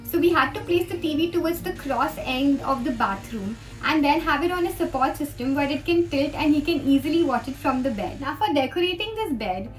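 A young woman, an interior designer, talking to the camera in an upbeat, explanatory way as she presents a design tour. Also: sits high. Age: young adult. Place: green-screen studio, indoors. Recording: distant mic with background music.

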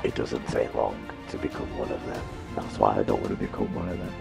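Man acting gravelly, low, vengeful apocalypse survivor. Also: lively intonation, a little fast, over music, exaggerated voice. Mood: grim, resigned.

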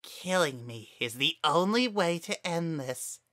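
A man's voice: expressive, unhurried, sharp tone. A man voicing a small, spiteful, menacing flower creature who shrieks and taunts. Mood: smug taunting. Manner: high taunting shrieks, cackling.

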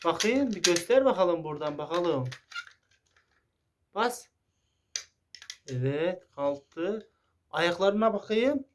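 A woman's voice: on the low side, lively intonation, takes it slowly, long pauses. Woman, encouraging and coaxing, casual child-directed play talk, faint background noise with small clicks. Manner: coaxing, encouraging, giving simple prompts. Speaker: adult playing along with a young child.

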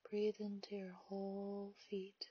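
Woman meditation guide: breathy half-whisper, unhurried delivery, muffled dry home recording. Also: medium pitch, completely flat delivery, no echo. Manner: soft, half-whispered, slow and soothing.

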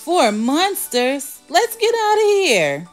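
Woman playing a loud, frightened robber. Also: extremely high pitch, wildly swooping pitch, slow pace, soft background music, pitched above the performer's own voice, exaggerated voice. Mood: terrified. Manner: loud, dramatic, alarmed. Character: frightened storybook robber, male bandit.

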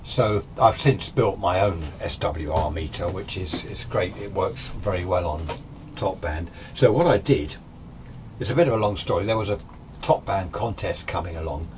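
An English man in his sixties with a very low-pitched voice talks to camera as a hobbyist host. He explains things informally and ramblingly, often rephrasing himself. The recording is made in a small workshop, with noticeable background noise. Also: a little mellow. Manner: rambling, conversational, self-correcting. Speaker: amateur radio and vintage radio video host. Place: small cluttered indoor radio workshop. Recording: clear background noise, room ambience.

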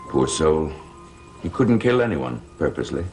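A middle-aged actor playing a suave, sly gentleman in a gothic soap opera, urbane, wry and formal. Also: very deep voice, big pitch swings, normal speed, soft background music. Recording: old TV soundtrack, faint noise.

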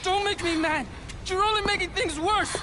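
A performer playing a frightened, pleading young boy held prisoner in a game drama, halting and emotional, on a cassette recording. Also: very high-pitched. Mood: desperate pleading. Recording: noisy cassette tape recording.

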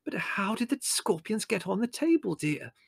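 A man's voice: high-pitched, big pitch swings, moderate pace, vocal fry, slightly rough, voice pushed up, full character voice. A man voicing a mild, genteel middle-aged mother, bewildered and imploring, for a storybook. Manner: plaintive imploring.

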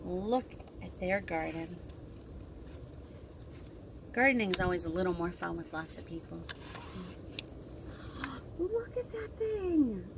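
A woman in her thirties leading an interactive storytime for young children, expressive and sing-song, asking lots of questions. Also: lowish voice, slow pace, pauses often. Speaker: children's librarian hosting storytime. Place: outdoors on a wooden deck by trees. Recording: windy outdoor recording with clear noise. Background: wind and outdoor ambience.

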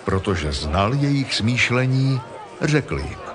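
A man's voice: medium pitch, sing-song, crowd noise. A man narrating a dramatized Bible reading from a script.

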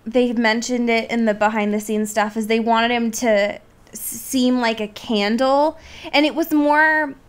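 A woman's voice: a little high, steady tone, unhurried, smooth. A young woman co-hosting a podcast, talking casually and playfully about films in an informal, loosely hedged way. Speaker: podcast co-host. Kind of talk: casual film discussion chat.

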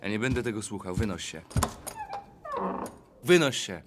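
Man acting a low-voiced, guarded, troubled son. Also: animated, normal speed, very noisy, close to an everyday voice. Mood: defensive. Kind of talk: audio drama acting.

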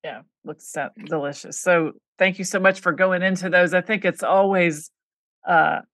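A woman's voice: on the low side, steady tone, moderate pace, fairly bright tone, no room sound, studio quality. A woman hosting a podcast, asking long, encouraging questions. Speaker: podcast host. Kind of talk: interview questions.

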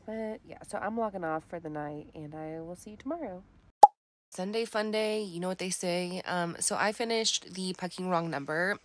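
A woman's voice: on the low side, normal speed, background noise. A young woman talking casually about her reading in a vlog, rambling with many fillers. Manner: chatty, rambling, lots of "like" fillers. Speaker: book vlogger.

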